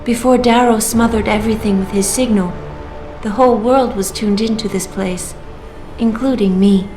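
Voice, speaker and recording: A woman voicing a calm AI newscaster. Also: mid-range voice, normal speed, lightly acted. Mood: composed. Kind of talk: video game dialogue. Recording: roomy game audio with music.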